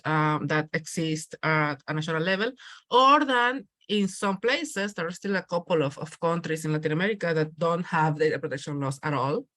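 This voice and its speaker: A low-voiced woman talks through her points in an unscripted panel discussion. Her sound is dry and close, and she often halts to find the right word before carrying on. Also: a bit bright, no echo.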